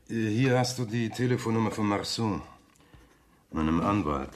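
An actor playing a wealthy, controlled husband in a German radio drama, speaking in a measured, polished way with pauses. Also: male voice, lowish voice, slight background noise, lightly acted. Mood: resigned, businesslike. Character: wealthy suave older husband, controlled, wistful, condescending.

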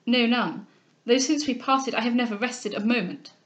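A woman reading a classic novel, voicing an ardent, bewildered young gentleman who pleads in formal, impassioned questions. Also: on the high side, normal speed, lightly acted. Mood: dread.

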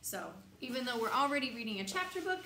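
A young woman reading a children's bedtime picture book aloud in an expressive, sing-song, teacherly way, emphasizing key words. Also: high voice, fast talker. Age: young adult. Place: small glassed-in sunroom. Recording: roomy echo, light background noise.

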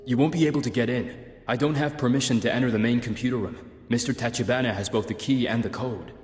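An actor playing a solemn young underworld clan leader in a video game, formal, measured and stoic. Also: male voice, mid-range voice, natural voice. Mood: calm, serious. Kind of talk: dramatic game cutscene acting. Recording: reverberant game audio with music behind. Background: music.

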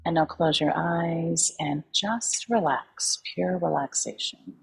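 A woman leading a guided meditation in a calm, soothing, unhurried way with long pauses. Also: sits low, gravelly, slightly rough, poor audio. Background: soft background music.

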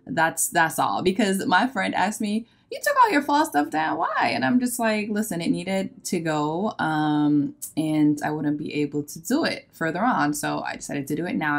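Tired, low-voiced woman chatting. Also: lively intonation, moderate pace. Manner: chatty, rambling, loose filler words. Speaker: vlogger. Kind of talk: casual chat. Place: bright home living room.

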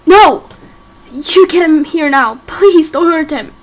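Woman voicing a frightened, high-voiced pleading boy. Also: slow pace. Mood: panicked, desperate. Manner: hesitant, stammering, pleading. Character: frightened, pleading young boy held captive. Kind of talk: amateur game roleplay acting.

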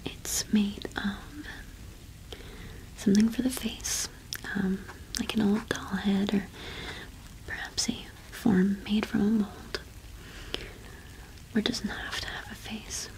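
A young woman whispering an ASMR roleplay, explaining gently and softly with unhurried pauses. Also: medium pitch, little pitch movement, poor audio. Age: young adult. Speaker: ASMR host in a cottage witch roleplay.

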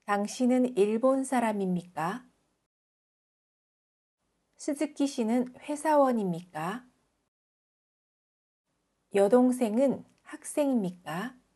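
A female language teacher reads scripted example sentences in a fairly high, mellow voice. She enunciates each one carefully and takes her time. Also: lively intonation, slow pace, long pauses, husky. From South Korea.

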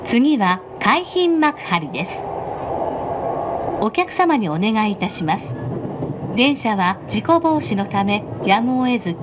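A woman reads scripted train announcements in a polite, formal way, with a lively, melodic range. She speaks slowly and clearly articulates each phrase. The sound is muffled, with clear train noise behind it. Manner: polite, formal, carefully enunciated. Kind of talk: scripted in-car station announcement. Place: inside a train car, played over speakers. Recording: muffled, noisy recording inside a moving train. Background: train rumble and noise.

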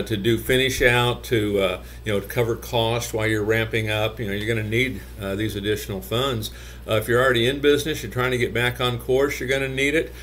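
A man's voice: mid-range voice, a bit bright, slight background noise. Older man giving casual business advice. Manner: conversational, many "you know" fillers, gestures with hands. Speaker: gym business consultant. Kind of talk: talking-head business advice video. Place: office with shelves, indoors.

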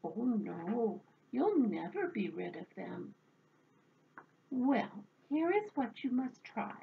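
A woman voicing a calm, knowing village wise woman who gives measured instructions. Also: on the high side, gravelly, husky, narrow, tinny audio, exaggerated voice. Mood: advising.

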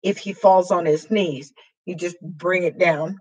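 Older lady teaching signs on webcam, slow and husky, kinda low voice. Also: fairly even intonation, dull audio. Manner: slow, halting, with frequent fillers while signing. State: voice weakened and hoarse after throat intubation. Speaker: sign language teacher. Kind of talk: slow explanatory sign language lesson. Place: small room with dark curtain backdrop, indoors.

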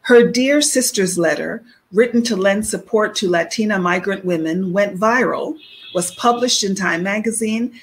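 A middle-aged woman hosts over a video call, her voice at an ordinary middle pitch. She asks questions warmly and reads formal introductions in a steady, measured way. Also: low-quality recording. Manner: warm, affirming, measured reading. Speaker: host. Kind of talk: interview and formal guest introductions. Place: home room, indoors.

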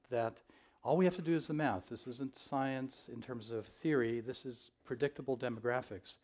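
An older man giving a conference keynote on a large stage, speaking in a measured, thoughtful way with frequent ums and uhs. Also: mid-range voice, a little mellow.

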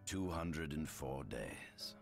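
An actor playing a calculating imperial scientist in a game cutscene, measured, courteous and insinuatingly persuasive. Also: male voice, very deep voice, lively intonation, exaggerated voice. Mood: courteous, smooth. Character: calculating male imperial scientist-chief, smooth, persuasive, scheming. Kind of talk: dramatic game cutscene acting. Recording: music playing behind dialogue.

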